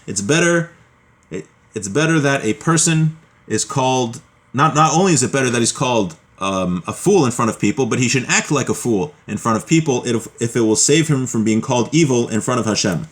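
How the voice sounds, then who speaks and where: A man with a medium-pitched voice teaches a religious text class. He reads passages aloud and then explains them. His delivery is halting, with repeated words and restarts as he works through the material. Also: lively intonation.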